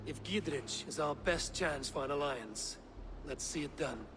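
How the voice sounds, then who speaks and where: An actor playing a blunt, sceptical Norse warrior in a video game, dry and challenging. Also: male voice, fairly high pitch, measured pace, lightly acted. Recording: game dialogue over clear ambient noise.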